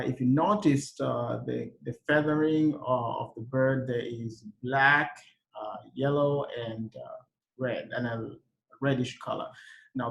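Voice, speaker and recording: A man's voice: mid-range voice, rough recording. A man from Uganda giving a slide presentation about his home country, speaking with many fillers and restarts.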